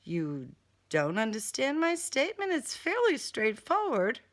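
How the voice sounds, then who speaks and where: A woman's voice: high voice, wildly swooping pitch, measured pace, full character voice. A woman voicing a smug male serial killer in an audiobook, with polite, condescending, formal diction and a taunting menace. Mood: amused, condescending.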